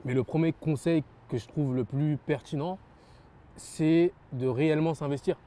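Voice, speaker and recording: Young man, french, dark mellow tone, earnest, repeats himself, lots of fillers. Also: fairly high pitch, steady tone, moderate pace. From France. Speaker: rap label founder. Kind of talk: interview answers.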